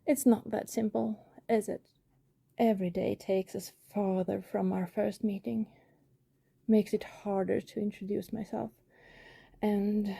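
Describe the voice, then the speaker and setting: A woman playing a lonely, tender, guilt-ridden vampire neighbor in an intimate ASMR roleplay, speaking softly in a breathy whisper with pauses. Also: mid-range voice, no echo, full character voice. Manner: slow, hushed, intimate, with pauses.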